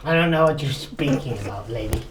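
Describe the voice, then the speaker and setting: A player performs a stubborn old patriarch in a tabletop radio drama. He is haughty, indignant and petulant. The recording has clear noise and clicks behind the voice. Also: mid-range voice, animated, a little fast, close to an everyday voice.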